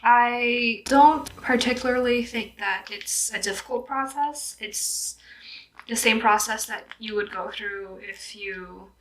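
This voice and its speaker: A young woman from Vietnam in her twenties talks to her viewers in a loose, get-ready-with-me chat. Her voice is bright and clear. She laughs easily and sprinkles her talk with "like" and "um". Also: on the high side, takes it slowly, low-quality recording. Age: 20s. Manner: chatty, laughs often, lots of "like" and "um". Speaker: YouTuber. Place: indoors at home in a city, doing makeup.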